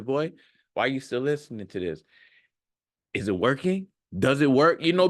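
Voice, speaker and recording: A man's voice: medium pitch, no echo. A man in his thirties giving an off-the-cuff, informal motivational monologue, slangy and leaning on emphatic repetition, recorded close on a large microphone. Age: 30s. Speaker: self-improvement content creator.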